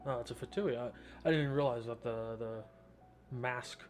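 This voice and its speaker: A man's voice: medium pitch, moderate pace. A man hosting a gameplay video with casual, informal commentary, reacting in short asides. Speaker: gaming video host. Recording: soft game music behind.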